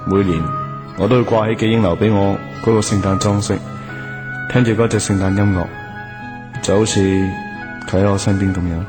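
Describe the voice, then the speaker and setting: Guy acting as a lovesick young student in a radio play, low-ish earnest voice, music behind. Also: fairly even intonation, brisk, pauses often, lightly acted. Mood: wistful, sad. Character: lovelorn, hopeful young male student waiting for his girlfriend. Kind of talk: Cantonese radio drama acting and first-person narration.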